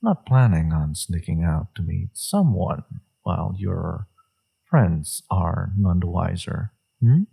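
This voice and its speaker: Man acting a gravelly, husky flirtatious villain. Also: very animated, takes it slowly, exaggerated voice. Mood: teasing. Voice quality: low, breathy, gravelly. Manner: teasing, coy, murmuring with soft chuckles. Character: flirtatious, teasing male villain, secretly smitten enemy. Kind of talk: intimate romance audio roleplay.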